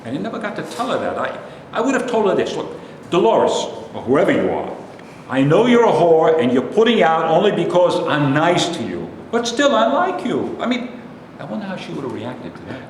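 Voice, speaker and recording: A man's voice: fairly high pitch, sing-song, fairly quick. An actor playing a bitter, self-pitying man, ranting defensively and ruefully in a staged play reading. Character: bitter, self-pitying male loser recounting his failed love life. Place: live staged reading in a reverberant room. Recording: very echoey hall, distant mic, faint noise.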